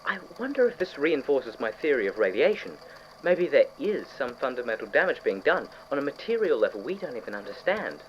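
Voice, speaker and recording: An eccentric, slightly unhinged male scientist, acted by a man dictates an audio-drama lab log. His pitch swings about as he muses and questions himself with blithe enthusiasm. The tape is dull and lo-fi, with clear noise behind the voice. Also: very animated, brisk, close to an everyday voice. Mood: eager, puzzled. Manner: musing aloud, rhetorical questions to himself.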